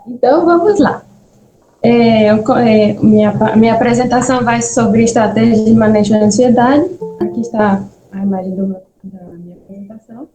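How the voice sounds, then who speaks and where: A woman's voice: a little high, normal speed, dark tone, faint music behind. A woman with a mixed Spanish and Brazilian Portuguese accent, giving a friendly, chatty talk with storytelling over a video call. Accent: mix of Spanish and Brazilian Portuguese. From Bahia, Brazil and Peru. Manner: friendly, chatty, checks in with listeners. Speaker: guest speaker, nurse. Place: echoey room, video meeting. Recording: echoey room, faint background noise.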